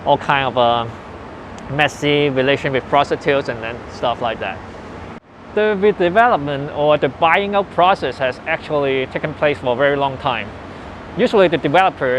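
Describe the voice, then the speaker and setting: A man in his forties with a Hong Kong English accent, earnestly recalling his past in an unhurried interview with loose grammar. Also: a little high, fairly bright tone, slight background noise, low-quality recording. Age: 40s. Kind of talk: personal reminiscence in interview. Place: old building where his family lived and worked.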